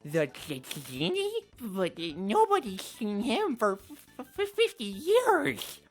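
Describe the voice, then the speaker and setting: Timid young cartoon animal boy, played by a man, raised pitch, jittery stammer. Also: wildly swooping pitch, slow, faint music behind, full character voice. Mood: shocked disbelief. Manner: stammering, nervous.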